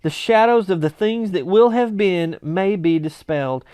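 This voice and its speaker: A man's voice: high voice, moderate pace, darkish tone, clean tone, no echo, close to an everyday voice. A man voicing an elated, reformed old miser from a storybook, exuberant and full of repeated exclamations. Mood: joyful relief.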